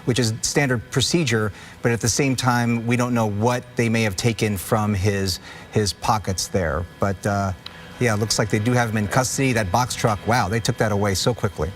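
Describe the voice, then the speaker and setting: A man's voice: on the low side, steady tone, moderate pace, soft background music. An American man anchoring live breaking news, with hesitant, ad-libbed phrasing.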